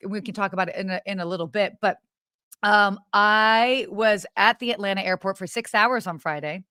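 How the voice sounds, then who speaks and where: A woman co-hosting a podcast in casual live chat, with an animated, giggly, exclamatory way of talking. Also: mid-range voice, moderate pace, smooth, no echo, studio quality. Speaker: podcast co-host.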